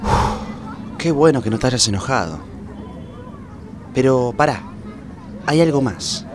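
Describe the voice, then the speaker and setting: A man's voice: medium pitch, lively intonation, takes it slowly, a crowd in the background, noisy background. A man playing a bumbling, childish detective in a comedy radio drama, with an Argentine accent and a hesitant, rambling delivery. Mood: nervous scheming. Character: bumbling, childish young man detective, nervous schemer and corny romantic.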